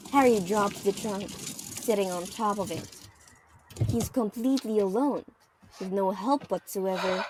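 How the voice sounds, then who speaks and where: Slow, theatrical woman narrator. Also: mid-range voice, dark tone, low-quality recording. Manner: theatrical, suspenseful, with pauses for effect. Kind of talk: dramatic scripted narration of an audio play. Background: music.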